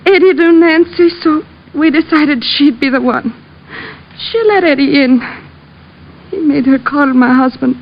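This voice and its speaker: A woman's voice: high voice, unhurried. A woman playing an overwrought, scheming married murder suspect in a 1940s radio melodrama, protesting dramatically. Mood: defeated, confessing. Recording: dull old radio recording.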